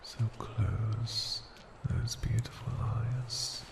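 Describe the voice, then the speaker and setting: Man voicing a very deep, slow, seductive ancient dragon. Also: little pitch movement, exaggerated voice. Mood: reassuring, possessive. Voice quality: breathy, often dropping to a whisper. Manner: slow, deliberate, teasing endearments. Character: ancient male dragon familiar, seductive, possessive, condescending. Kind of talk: ASMR fantasy roleplay. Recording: roomy echo, noisy ambience.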